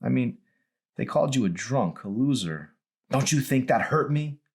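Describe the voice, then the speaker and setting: Man acting an angry teenage girl, pitch leaping. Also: expressive, normal speed, darkish tone, husky. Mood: bitter. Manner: pleading, accusing, emphatic. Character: angry, wounded teenage daughter confronting her father. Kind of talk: dramatised audiobook reading.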